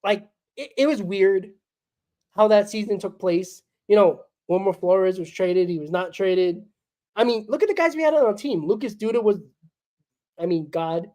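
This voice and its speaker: A woman's voice: medium pitch, normal speed, warm tone. A woman hosts a solo postgame fan show, ranting bluntly and with exasperation while sick, her voice crackling and coughing.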